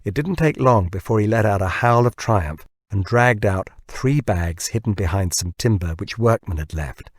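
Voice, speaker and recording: Male audiobook narrator needed: low, husky voice, scripted fiction read in a very dry setting. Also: animated, moderate pace, hardly any pauses, no echo.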